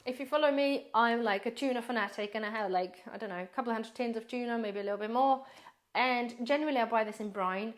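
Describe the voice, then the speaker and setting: A woman prepper vlogger chatting casually through a grocery haul, rambling, addressing viewers as guys, her voice slightly hoarse. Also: on the high side, fast talker. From based in the UK.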